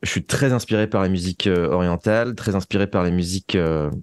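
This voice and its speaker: A man with a low voice talks in a conversational interview, recorded dry and close. He is reflective, pausing and circling as he looks for the right word, like a writer explaining his craft. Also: a little mellow, no echo. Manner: hesitant, reflective, searching for words. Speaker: interview guest, audio fiction writer and composer. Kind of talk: conversational interview about creative work.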